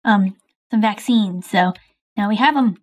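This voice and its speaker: A woman doing casual game roleplay, chatty and quick with plenty of fillers. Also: mid-range voice. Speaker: roleplay player voicing several characters.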